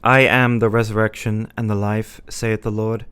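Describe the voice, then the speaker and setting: Low-voiced, devoted young husband played by a man. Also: level tone, normal speed, a bit bright, clean tone, close to an everyday voice. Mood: grieving, solemn. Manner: tender, earnest, formal. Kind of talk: radio play acting.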